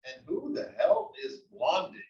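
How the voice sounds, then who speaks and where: A grumbling old husband, read by a man at a comedy table read, exasperated and wry. His fairly high voice swings up and down as he complains. It sounds dull and distant in an echoey room. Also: wildly swooping pitch, measured pace, darkish tone, heavily acted. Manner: complaining, exclaiming.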